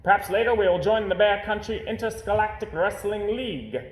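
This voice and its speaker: Man doing an emphatic, high-pitched storybook coach bear. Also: a little fast, close to an everyday voice. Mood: commanding. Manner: announcing, emphatic. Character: coach bear, adult male, commanding gym announcer. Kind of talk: children's storybook character voice. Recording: roomy, echoing home recording.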